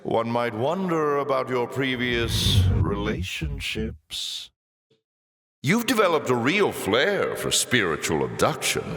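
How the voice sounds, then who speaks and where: A man playing a suave, mocking demon lord in a video game, with a silky, pompous, sardonic delivery and drawn-out teasing. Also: mid-range voice, very animated, fairly bright tone, heavily acted. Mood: mock admiring, sardonic. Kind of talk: theatrical video game villain voice acting. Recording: echoing, cavernous reverb.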